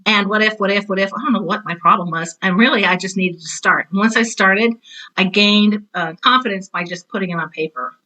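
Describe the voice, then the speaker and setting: Bright, sharp-voiced woman in her sixties. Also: mid-range voice, brisk, low-quality recording. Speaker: retired teacher and author talking on a podcast. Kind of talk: casual conversational podcast chat.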